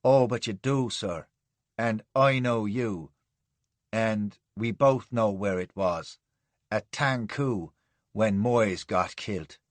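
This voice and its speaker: A man's voice: medium pitch, measured pace, no echo, lightly acted. A man putting on a whiny Irish trooper. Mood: menacing. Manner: soft, whiny brogue turning menacing. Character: whiny soft-spoken Irish trooper, insolent and sly.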